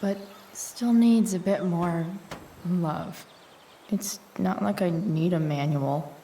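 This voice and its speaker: A woman's voice: lowish voice, takes it slowly, mellow, recorded from a distance, a little hiss or hum. An actress playing a rebellious, foul-mouthed teenage girl in a video game drama, blunt and sardonic. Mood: wry, focused. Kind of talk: naturalistic video game drama acting, muttering to herself.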